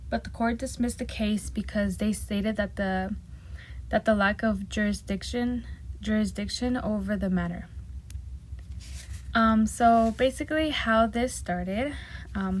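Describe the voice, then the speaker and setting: A woman whose first language is Spanish gives a nervous, hesitant class presentation, with many pauses, ums and restarted words. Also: medium pitch, a little mellow, a little hiss or hum, low-quality recording. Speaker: student presenting a project.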